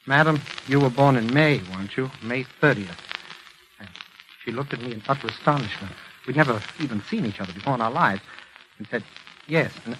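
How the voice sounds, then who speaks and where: An actor playing a haunted, anguished patient cursed to speak the truth, in halting, intense 1940s radio style. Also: male voice, medium pitch, a bit bright, close to an everyday voice. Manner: intense, halting, agonized delivery. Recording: noisy old 1940s radio recording.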